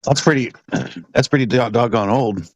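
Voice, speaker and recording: A man's voice: medium pitch, normal speed, no room sound, dull audio. A man in casual conversation who talks hesitantly with many fillers and swears bluntly.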